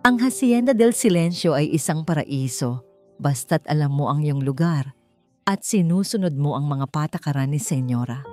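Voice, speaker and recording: Woman voicing a calm, sly older housekeeper. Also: low-pitched, moderate pace, faint music behind. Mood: sly, instructive. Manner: calm, hushed, knowing.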